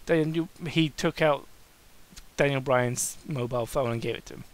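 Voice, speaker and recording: A man's voice: mid-range voice, moderate pace. A man giving a comic amateur dramatic reading of fan fiction, stumbling over words and breaking off to heckle the text with swearing.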